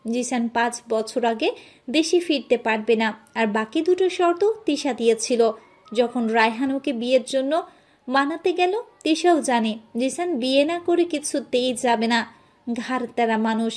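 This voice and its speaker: A woman's voice: high voice, expressive, moderate pace, a little mellow. A woman narrating a scripted romantic story as its storyteller.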